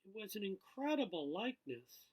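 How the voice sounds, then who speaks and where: A man voicing a polite, gently concerned woman schoolteacher from a novel, questioning in a measured manner. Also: high voice, big pitch swings, slightly rough, voice pushed up, heavily acted, rough recording. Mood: probing, concerned.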